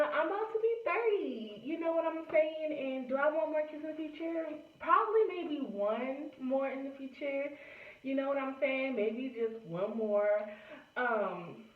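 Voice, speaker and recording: A young woman in her late twenties chats to camera in a relaxed, rambling way, leaning on "you know" and speaking. Her voice sits fairly high. The sound is echoey and thin, like a phone recording in a room at home. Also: far from the mic, phone-quality sound. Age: late 20s. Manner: chatty, many fillers like "you know". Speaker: vlogger. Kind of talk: casual chat to camera.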